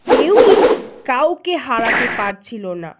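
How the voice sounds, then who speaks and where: A woman narrating cartoon fables from a script in an expressive, dramatic storytelling manner, with slightly dull sound. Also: a little high, slow, heavy background noise.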